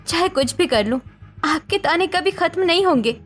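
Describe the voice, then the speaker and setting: A woman acting scornful mothers-in-law and hurt young daughters-in-law in an animated family drama, with emphatic melodramatic delivery. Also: very high voice, moderate pace. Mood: reproachful, hurt.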